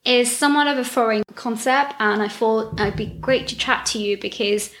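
A woman's voice: a little high, moderate pace, fairly bright tone. A young woman hosting a podcast, chatting in an upbeat, casual way with frequent restarts. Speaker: podcast host.

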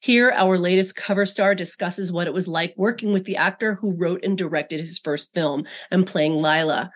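A woman's voice: on the low side, fairly even intonation, moderate pace. A middle-aged woman hosting a podcast, reading a polished scripted introduction and then asking interview questions. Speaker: podcast host and magazine editor.

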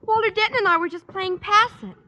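A woman's voice: very high-pitched, fast talker, sharp tone, big-room sound, lo-fi sound. A woman playing a perky, helpful teenage daughter, bubbly and girlish, in a 1940s radio sitcom. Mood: cheerful. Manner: bubbly, eager. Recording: hollow old radio broadcast.

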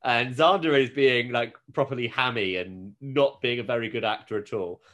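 A man's voice: medium pitch, normal speed, fairly bright tone. A British man hosting a podcast, chatting casually and with amusement as he recaps a TV show. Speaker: podcast host.

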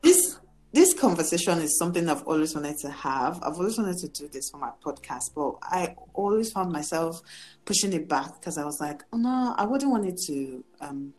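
A woman hosting a casual podcast, chatty and expressive, who laughs easily. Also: low voice. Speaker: podcast host.

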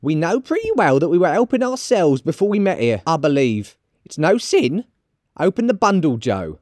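A man voicing a bold, defiant, scornful charwoman in a storybook reading, loud and exclaiming. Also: on the high side, big pitch swings, normal speed, no room sound, studio quality, pitched above the performer's own voice.

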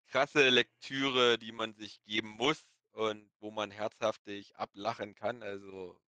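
A man with a slight lisp, chatting informally and haltingly as a podcast guest. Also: on the low side, little pitch movement, bright, forceful tone, clean tone, no room sound. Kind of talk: casual podcast chat.

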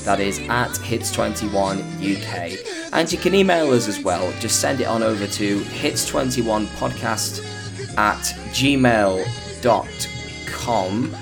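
A hoarse British man hosting a podcast, chatting casually and rambling with plenty of fillers and restarts. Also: on the low side, expressive, slow, music in the background. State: hoarse, recovering from illness. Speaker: podcast host.

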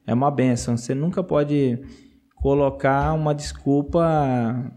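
Earnest missionary man, mellow voice. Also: mid-range voice, fairly even intonation, measured pace. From Brazil. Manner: earnest, laughs often, repeats words. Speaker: missionary guest. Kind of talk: casual interview testimony.

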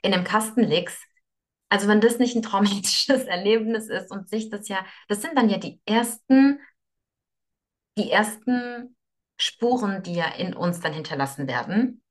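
A woman's voice: mid-range voice, normal speed. A young woman from Russia, a guest in a podcast interview, explaining her ideas earnestly, often restarting and repeating words. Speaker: yoga teacher and guest.

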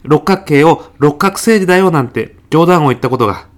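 A man's voice: a little high, expressive, a little fast, natural voice. Mild, awkward man playing a dad. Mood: awkward, evasive. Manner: polite, hesitant, understated. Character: mild, awkward father of a preschooler, evasive. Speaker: parent in a comedy sketch. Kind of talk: comedic short radio drama, phone meeting.

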